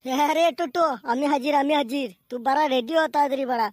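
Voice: high voice, fast, no echo, clean studio sound, lightly acted. A performer voicing a cheeky, crude, boastful younger brother in a slapstick comedy cartoon.

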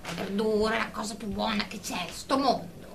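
A woman's voice: mid-range voice, a little fast, creaky, natural voice. An actress playing a blunt, nosy female therapy patient in a comic radio drama. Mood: indignant. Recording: noisy recording with clicks.

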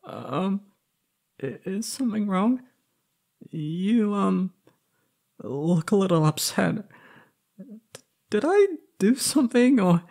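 A man acts out a timid young male captive in an ASMR audio drama. His voice is on the high side and creaky, swinging up and down with nerves. He speaks slowly and haltingly, stammering as he pleads with his captor. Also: sing-song, a little mellow, heavily acted. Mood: anxious. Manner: nervous stammering, hesitant. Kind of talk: ASMR roleplay audio drama, intimate monologue.

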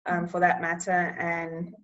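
A young woman from Eswatini whose first language is Bulgarian, working in public health, answering interview questions over a video call in a measured way, often pausing to find the right words. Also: fairly low pitch, completely flat delivery, dull audio.

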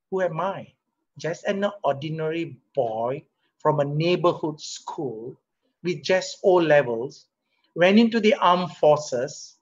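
A middle-aged man with a Singaporean English accent, a company CEO giving a motivational leadership talk over a video call, asking rhetorical questions and getting animated as he makes his points. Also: sits high. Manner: conversational, rhetorical questions, rises animatedly when making a point. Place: home or office room, webcam. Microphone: webcam or laptop mic on a video call. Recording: muffled video call audio.

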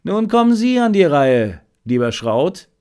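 A man reading the part of a shrewd male detective in an audiobook, brisk and decisive as he gives instructions. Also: fairly high pitch, big pitch swings, a little mellow, clear, resonant tone, pitched above the performer's own voice. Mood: brisk, commanding.

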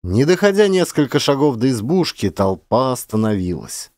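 Expressive male audiobook narrator, lively pitch. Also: moderate pace, no room sound, clean studio sound. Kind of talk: dramatic literary audiobook narration.

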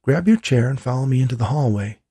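A man voicing a gentle, coaxing female ghost in an audiobook. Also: medium pitch, expressive, quick pace, breathy edge, no echo, clean studio sound, voice pushed up. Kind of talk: audiobook character reading.

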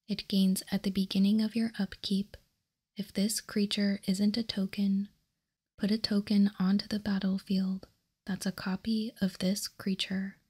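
A woman narrates in a soft, breathy ASMR whisper. Her delivery is very even and unhurried, with a mellow, relaxed tone. She sounds like a host calmly presenting items on a tabletop. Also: medium pitch. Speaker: ASMR card game video host. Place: indoors, tabletop card showcase.

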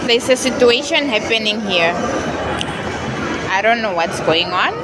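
A woman's voice: medium pitch, expressive, a little slow. A woman in her twenties chatting informally to her viewers as she vlogs on the move, holding her phone at arm's length. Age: 20s. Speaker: vlogger. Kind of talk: casual vlog chat. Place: on the move: train, station, mall, street. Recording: noisy crowded public spaces, traffic and chatter.

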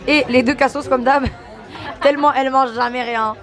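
A woman's voice: high-pitched, steady tone, moderate pace, a bit bright, soft background music, a faint crowd. A young woman in her twenties, chatty and upbeat, vlogging casually with a camera held at arm's length. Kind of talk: casual vlog chat to camera. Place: busy restaurant, later a car.

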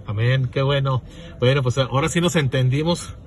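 A man's voice: mid-range voice, moderate pace, slight background noise. A man interviewing a preacher for a live transmission, laughing easily and speaking in a devout, easygoing way. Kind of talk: broadcast interview hosting.

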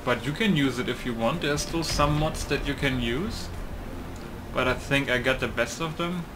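A man talks through a video game in a casual, rambling way. He mutters to himself and swears offhand. His voice sits at an ordinary pitch over clearly noisy background sound from the game and computer. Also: moderate pace. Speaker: gaming video creator. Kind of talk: casual gameplay commentary. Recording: noisy home setup, loud computer.

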